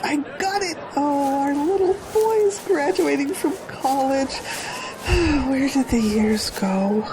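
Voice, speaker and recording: A woman acts as a fussy, doting middle-aged mother in a radio play. Her voice is high and swoopy, taken slowly, over a faint crowd. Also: animated, noisy background. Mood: tearful, proud. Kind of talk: audio drama acting.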